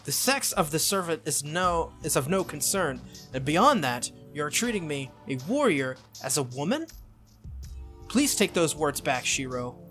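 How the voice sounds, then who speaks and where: A man's voice: a little high, big pitch swings, a little slow, fairly bright tone, soft background music. A man voicing a stern, proud female knight spirit from a visual novel, speaking formally and insistently. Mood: indignant.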